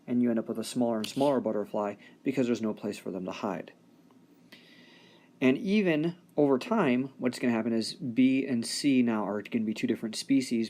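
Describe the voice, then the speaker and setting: A man's voice: medium pitch, darkish tone. A man teaching biology in an informal recorded lecture, talking in a conversational, folksy way with joking asides.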